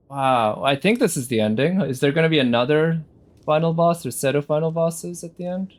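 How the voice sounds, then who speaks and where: A man giving casual, unhurried live commentary as a game streamer, musing aloud as he goes. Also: on the high side, slight background noise. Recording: game music behind the voice. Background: game music.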